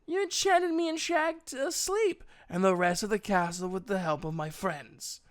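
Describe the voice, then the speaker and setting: A man voicing a proud elf mage in a storybook reading, a gruff but kindly mentor with emphatic, old-fashioned speech. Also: high-pitched, expressive, normal speed, a bit bright, pitched above the performer's own voice. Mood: weary, proud.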